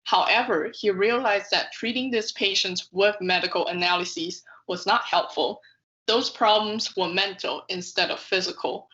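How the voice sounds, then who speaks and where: A woman's voice: medium pitch, moderate pace, pushed and bright, muffled recording. A woman narrating a video essay from a script, reading carefully with occasional stumbles over words. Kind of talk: scripted narration, read aloud.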